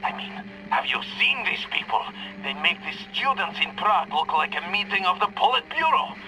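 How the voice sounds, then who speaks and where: A man's voice: high-pitched, lively intonation, moderate pace, noisy background. A man playing a cold, haughty Soviet agent villain in a video game, with a Russian accent, menacing and formal, over a radio. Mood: contemptuous. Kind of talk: video game cutscene acting.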